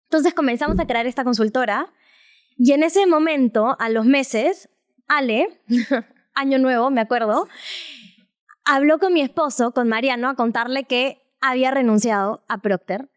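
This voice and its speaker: A young woman talks casually as a podcast co-host. Her voice sits high and comes through smooth and clean. She tells her stories in a chatty way, laughing often as she goes. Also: moderate pace.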